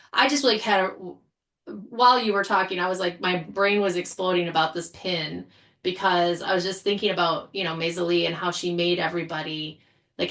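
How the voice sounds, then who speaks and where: Female panel guest, lower-pitched, conversational, earnest, working out thoughts as she speaks. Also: vocal fry, fairly bright tone. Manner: earnest, thinking aloud. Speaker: podcast panel guest, writer. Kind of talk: casual panel discussion.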